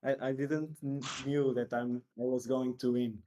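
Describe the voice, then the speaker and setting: Portuguese man, halting English. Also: medium pitch, level tone, dark tone, slight background noise. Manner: halting, repeats words, searching for English. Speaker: interviewed sim racer. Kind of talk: casual interview. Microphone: voice chat call.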